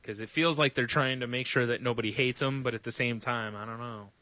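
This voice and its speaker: Male podcast co-host, casual and sarcastic, swears freely, bright forward voice, dry close recording. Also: medium pitch, fairly even intonation, brisk, no room sound. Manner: sarcastic, joking, profane. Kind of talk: casual comedic banter, ranting about news.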